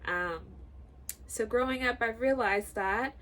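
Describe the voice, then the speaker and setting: A woman's voice: a little high, slow, a bit bright, a little hiss or hum. A young woman in her twenties, nasal and congested, chatting casually in a sit-down vlog and rambling with lots of fillers. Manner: chatty, rambling, full of likes and ums. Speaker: YouTuber. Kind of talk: sit-down get to know me vlog.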